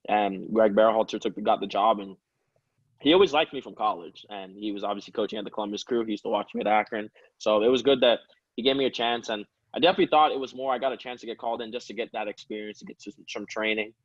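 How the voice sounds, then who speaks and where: Low-voiced young man, relaxed rambling chat. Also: fast, dry recording, dull audio. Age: 20s. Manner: relaxed, rambling, laughs often. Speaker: guest, professional soccer player. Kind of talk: casual interview chat.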